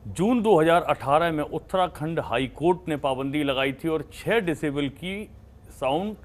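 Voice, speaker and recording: A man's voice: a little high, expressive, normal speed. A man speaking as a party politician in a TV debate, arguing emphatically in formal phrases mixed with English words.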